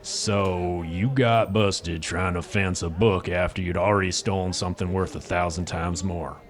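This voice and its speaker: Actor as gruff low-voiced frontier smuggler. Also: male voice, steady tone, a little slow. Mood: sardonic. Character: gruff, wary, shrewd male smuggler with stubble and moustache. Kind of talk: audio drama acting.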